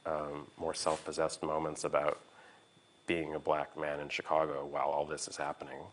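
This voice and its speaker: Male, extremely low voice. Reflective interviewee, halting delivery, carefully searching for words. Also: monotone, fairly bright tone. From United States. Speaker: art historian being interviewed. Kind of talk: reflective conversation.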